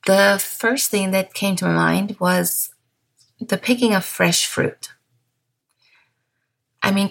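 A woman in her thirties with a German accent, hosting a gentle podcast monologue in a soft, unhurried, mindful way. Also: medium pitch, gravelly. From Bavaria, southern Germany. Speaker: podcast host.

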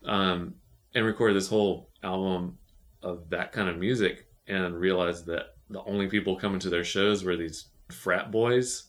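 A man's voice: low voice, fairly even intonation, moderate pace, a bit bright. A man co-hosting a podcast, chatting casually and rambling, with many fillers and restarts. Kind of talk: casual chat.

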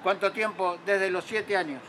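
High-voiced Argentine man over street noise. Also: fairly even intonation, a bit bright. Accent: Argentine Spanish. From Entre Ríos province, Argentina. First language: Spanish. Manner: halting, hesitant, switches into broken English. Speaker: street clown and accordion player being interviewed. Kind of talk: casual spoken interview.

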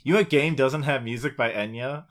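A man's voice: mid-range voice, brisk. Male podcast co-host, informal and sweary, casual gaming chat between friends. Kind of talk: casual chat about video games.

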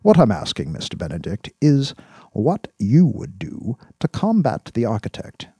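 A man voicing a cool, sardonic male kingpin in an audiobook, speaking in a deliberate, formal, dryly ironic way. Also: medium pitch, huge pitch swings, mellow, slightly rough, no echo, clean studio sound, pitched above the performer's own voice, full character voice. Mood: probing, intense. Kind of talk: audiobook character dialogue.

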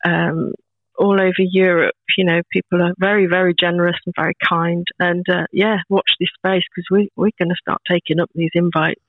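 A woman in her fifties, a proud grandmother, chatting as a podcast guest in a warm, rambling way with many restarts. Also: fairly low pitch, flat delivery, brisk, no echo. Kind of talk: casual chat. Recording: tinny phone-like line, dry.

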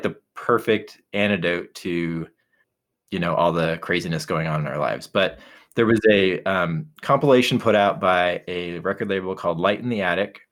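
Man, low voice, laid-back podcast co-host who rambles a bit and restarts sentences. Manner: relaxed, rambling, many fillers and restarts. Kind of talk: casual chat.

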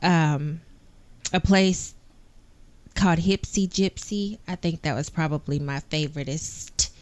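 A woman in her forties co-hosting a family podcast, chatting in an unhurried and playful way. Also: deep voice, steady tone. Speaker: podcast co-host. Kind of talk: casual family podcast chat.

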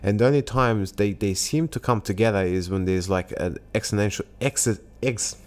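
A man's voice: on the low side, moderate pace. A man from Afghanistan talking casually in conversation, often stopping and restarting his words.

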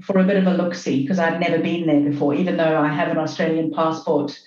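A woman in her fifties, originally from Zimbabwe, talks through her memories in a slightly low voice, pausing and restarting as she thinks. The sound is roomy and echoey. It is also a little muffled and lo-fi. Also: steady tone, a little mellow. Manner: reflective, halting with many restarts. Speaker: guest. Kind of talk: casual interview storytelling.

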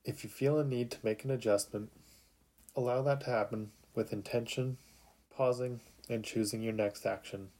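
A man narrating a guided sleep meditation in a calm, soothing, unhurried way with long pauses. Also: mid-range voice.

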